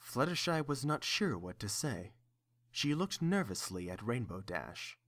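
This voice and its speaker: A man's voice: medium pitch, big pitch swings, measured pace, fairly bright tone. A man narrating a scripted story.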